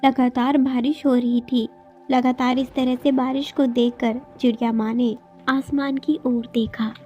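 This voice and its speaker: A woman narrates a children's cartoon tale from a script. Her voice is high. The audio is a bit dull, with music running softly beneath. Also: level tone, measured pace.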